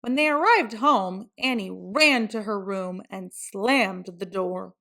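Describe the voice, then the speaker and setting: A woman's voice: medium pitch, slow pace, sharp tone. A woman reading a children's picture book aloud as a storyteller. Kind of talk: scripted children's story narration.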